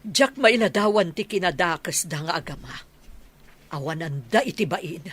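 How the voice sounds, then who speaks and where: A woman's voice: on the low side, expressive, moderate pace, fairly bright tone, husky. A woman with a Filipino Ilocano accent playing a wronged, emotional older mother in a radio drama. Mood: bitter, outraged. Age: middle-aged.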